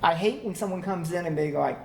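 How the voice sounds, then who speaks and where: A man giving a relaxed, conversational conference talk to a live audience, laughing easily as he goes. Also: on the high side, fairly quick, vocal fry. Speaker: conference presenter. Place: conference room. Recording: echoey room, faint background hum.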